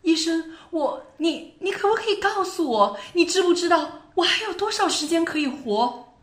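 A woman's voice: very high voice, animated, normal speed, roomy sound. A woman in an amateur radio drama plays a frightened, sick young woman, agitated and stammering. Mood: panicked, pleading.

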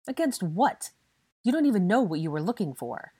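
A woman's voice: mid-range voice, very animated, moderate pace, dark tone, breathy edge, no echo, voice pushed up. A woman voicing a bitter, angry young man who scolds heatedly in an audiobook fantasy story. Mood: scolding. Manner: heated, scolding, British swearing. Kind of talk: audiobook character dialogue.

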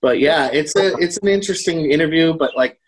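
A man's voice: a little high, a little fast, low-quality recording. A man co-hosting a podcast, chatting casually and in a rambling way about horror, acting out bits of dialogue as he retells things. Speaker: podcast co-host. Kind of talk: casual chat about horror books and films.

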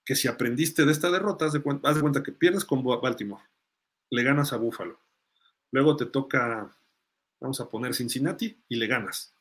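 A man's voice: medium pitch, normal speed. A man hosting a live sports fan podcast, chatting casually and thinking aloud as he gives his takes and answers listener comments.

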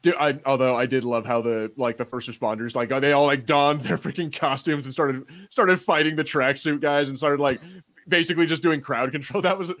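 A man's voice: mid-range voice, steady tone, a little fast, fairly bright tone. A man co-hosting a podcast in casual pop-culture banter, speaking informally and swearing freely. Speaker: podcast host.

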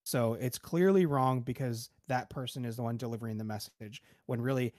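A man calmly explaining ideas with examples in a casual podcast panel talk. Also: medium pitch, brisk, no room sound, clean studio sound. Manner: calm, explanatory, gives examples.

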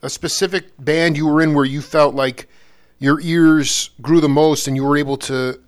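Male interviewer, fairly high voice, loose slangy halting questions. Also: fairly even intonation, clear, resonant tone. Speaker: interviewer host.

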